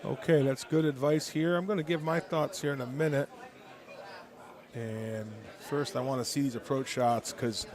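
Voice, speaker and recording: Booth commentator, man, mid pitch, chatty play-by-play, crowd noise at an event. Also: moderate pace. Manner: chatty, joking, relaxed. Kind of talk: live esports commentary. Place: announcer's booth at a tournament. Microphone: booth microphone.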